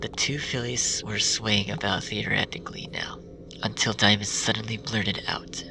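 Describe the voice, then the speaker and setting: Low gravelly man in hushed narration. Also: steady tone, measured pace. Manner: hushed, half-whispered reading. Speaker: fanfiction reader. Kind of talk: dark storybook narration. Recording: distant mic with music bed.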